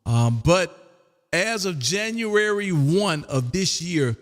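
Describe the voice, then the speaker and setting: An older man around sixty talks in a fairly high-set voice with long reverb around it. He chats in a folksy, rambling way as an amateur radio host, often addressing his listener as "man". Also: expressive, takes it slowly. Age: older, about 60. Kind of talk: casual rambling radio chat. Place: home radio room. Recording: long echoing room reverb.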